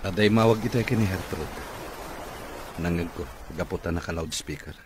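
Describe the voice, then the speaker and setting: Actor as deep-voiced gloomy male boss. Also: normal speed, lightly acted. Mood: glum, suspicious. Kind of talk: Ilocano radio comedy-drama acting. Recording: noisy radio drama mix.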